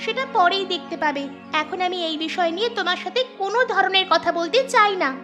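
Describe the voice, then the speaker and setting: A woman's voice: extremely high pitch, fast talker, music in the background. A woman voicing a defiant, indignant young village girl in a cartoon, speaking firmly and without fear. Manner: indignant, firm. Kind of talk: Bengali cartoon voice acting.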